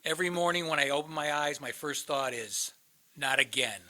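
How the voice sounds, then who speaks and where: Guy acting bitter sarcastic man in a radio play, really hard bright voice, bit high, blunt. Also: normal speed. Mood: scornful, bitter. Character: bitter, sardonic man, crisis counselor posing as suicidal caller.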